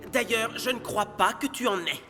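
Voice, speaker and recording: An actor voicing a kind, brave little bear in an animated film, earnest and plain-spoken. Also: medium pitch, normal speed, big-room sound, faint music behind, lightly acted. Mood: defiant.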